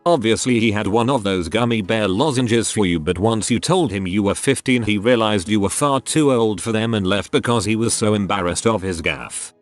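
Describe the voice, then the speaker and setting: A man narrating a string of short first-person stories in a brisk, steady scripted read. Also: on the low side, faint music behind.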